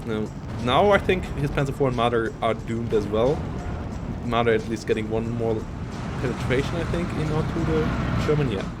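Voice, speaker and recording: A man casting a live strategy game match, analytical and chatty, laughing often. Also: medium pitch. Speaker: esports caster.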